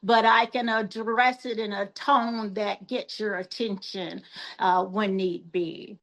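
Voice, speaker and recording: An older woman guest on a podcast, talking in a soft-spoken, measured and earnest way, with many pauses and fillers. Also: medium pitch, fairly even intonation. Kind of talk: reflective interview talk.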